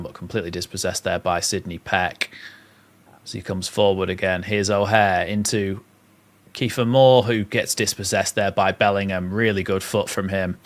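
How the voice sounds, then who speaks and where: A young man with a northern English accent, calling a live football match on a watchalong stream and chatting with viewers. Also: sits low. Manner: play-by-play calls mixed with reading out viewer comments. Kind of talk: live match commentary and chat.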